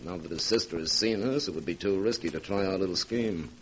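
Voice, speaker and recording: An actor playing a cautious, scheming houseguest with a husky voice in a 1940s radio horror drama. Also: male voice, deep voice, fairly quick. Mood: conspiratorial.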